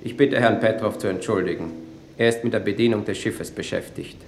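An actor playing a plain, courteous colonist delegate, terse and matter-of-fact, in a 1950s German radio drama. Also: male voice, fairly low pitch, steady tone, brisk, soft background music, close to an everyday voice. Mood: sober, matter-of-fact. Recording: roomy old radio play, faint noise.

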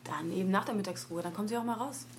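Actress as brisk, calm young woman. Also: medium pitch, lightly acted. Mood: polite, calm. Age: young adult. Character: calm, helpful young woman keeping an old man company. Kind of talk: naturalistic radio drama acting. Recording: loud background noise, kitchen clatter.

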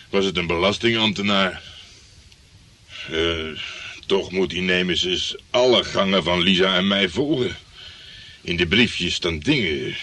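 A swaggering, womanizing private eye from a radio drama. His delivery is unhurried and swings widely in pitch. The voice is bright and forceful, full of crude bravado. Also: heavily acted. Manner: swaggering, teasing, bragging. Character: cocky, crude, womanizing male private detective. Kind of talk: Dutch radio play acting.

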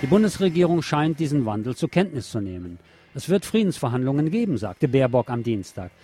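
A man's voice: medium pitch, big pitch swings, fairly quick, dark tone, breathy edge, soft background music. A man hosting a radio show, reading news articles aloud in a sardonic way with mocking asides.

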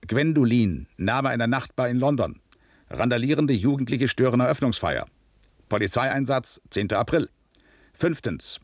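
A man's voice: medium pitch, no echo, clean studio sound. An actor playing a dutiful male security policeman, giving precise, bureaucratic testimony in a radio drama. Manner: precise, bureaucratic, matter-of-fact.

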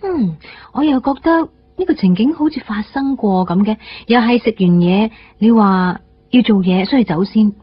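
An actress playing a gentle, caring young woman in a Cantonese radio drama, with a soft, reflective manner. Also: fairly high pitch, lively intonation, moderate pace, few pauses, a little hiss or hum, close to an everyday voice. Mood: caring, questioning. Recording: dull band-limited radio broadcast, music behind.

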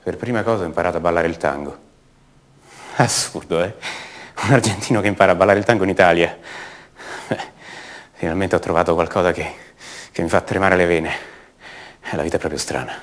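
An actor playing the brooding adult son of a disappeared woman, speaking haltingly and intimately in a radio theatre monologue. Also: deep voice, lightly acted. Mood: wry, moved. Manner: halting, repeats words, intimate.

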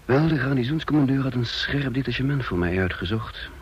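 A man acting in a radio drama as a brisk, authoritative army officer giving clipped orders. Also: medium pitch, expressive, breathy edge, muffled recording. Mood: reflective, subdued. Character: brisk commanding male military officer leading a raid.

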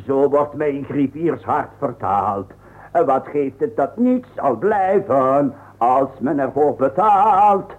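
A man's voice: mid-range voice, expressive, slow pace. A man playing a stiff, self-important court clerk in a comic radio play. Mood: declaiming. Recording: tinny narrow-band old radio recording.

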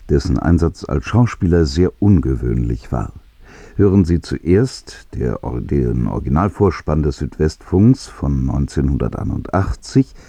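Very deep, dark-voiced man, husky. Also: dry recording. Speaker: radio presenter. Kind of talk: scripted introduction to an archive radio play.

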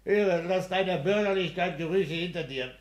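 An actor playing a smug, pedantic clerk and husband in a 1960s German radio play, blunt and domineering. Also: male voice, normal speed, lightly acted. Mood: boisterous, mocking. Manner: exacting, domineering, booming bursts of mockery.

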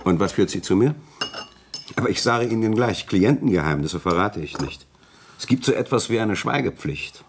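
A man's voice: on the low side, animated, moderate pace, slight background noise. An actor playing a courteous, talkative village notary in a German radio play, polite and formal in his lawyerly wording. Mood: polite, guarded.

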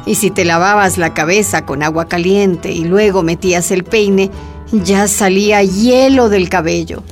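A woman's voice: medium pitch, a little slow, soft background music. A woman narrating a scripted radio drama as storyteller.

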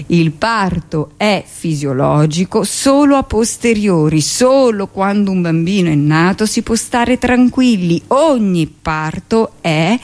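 A woman voicing an elderly male doctor in a radio monologue, paternal, pompous and authoritarian, with a drawn-out lecturing manner. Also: fairly low pitch, a little mellow.

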